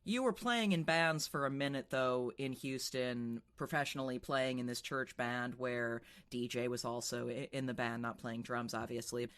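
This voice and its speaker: Man, interviewer, bright tone, smooth clear voice, conversational. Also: mid-range voice, fairly even intonation, moderate pace, few pauses.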